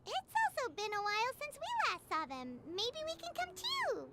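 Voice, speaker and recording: A woman voicing a tiny floating female fairy companion who chirps excitably and talks about herself in the third person, over game music. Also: extremely high pitch, normal speed, very noisy, heavily acted. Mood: eager. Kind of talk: video game cutscene voice acting.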